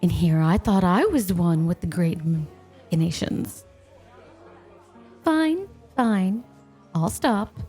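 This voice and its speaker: A woman's voice: fairly low pitch, lively intonation, takes it slowly, pauses often, warm tone, slightly rough, faint music behind, exaggerated voice. A woman playing a playful, possessive snow spirit in an ASMR roleplay, coaxing and teasing the listener with coy, intimate talk. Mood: playfully impressed. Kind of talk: ASMR roleplay, scripted, intimate one-sided dialogue.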